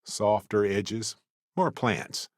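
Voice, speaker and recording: An actor playing a witty, adventurous male space explorer companion in a video game, speaking in an expressive, conversational way. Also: on the low side, drawn-out words, no echo, clean studio sound. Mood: wry, curious.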